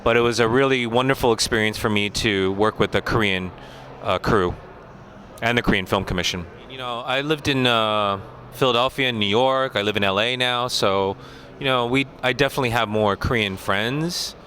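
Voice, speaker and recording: An American man, a film director, talking through a festival interview in a relaxed, thoughtful way with many pauses and fillers. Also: medium pitch. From United States.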